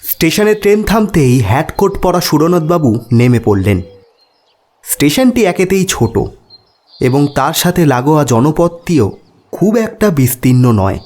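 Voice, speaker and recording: Expressive male storyteller, lively pitch. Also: unhurried. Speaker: host and story narrator. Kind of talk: dramatic audio story narration. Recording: background music under voice.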